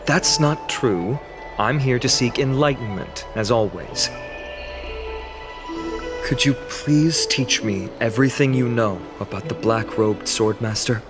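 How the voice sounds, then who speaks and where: An actor playing an earnest, determined young male hero in a video game, speaking in a measured, dutiful way. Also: medium pitch, lightly acted. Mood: earnest, probing. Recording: studio voice over prominent music.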